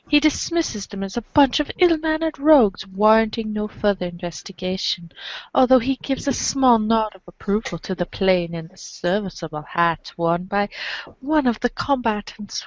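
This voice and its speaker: A woman's voice: medium pitch, dry recording, dull audio. A woman performing theatrical read-aloud narration as part of a podcast cast.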